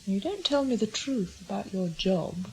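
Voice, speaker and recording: A woman's voice: medium pitch, animated, moderate pace, a little mellow, natural voice, poor audio. An actress playing a candid, earnest woman, a spy's reflective lover, in an intimate radio drama scene. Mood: probing. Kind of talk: naturalistic radio drama acting.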